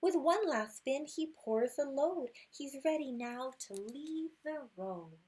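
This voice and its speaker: A woman reading a rhyming children's picture book aloud expressively, unhurried, with hushed moments and little asides. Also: high-pitched. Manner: expressive, unhurried, drops to hushed whispers at good nights. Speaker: storytime reader.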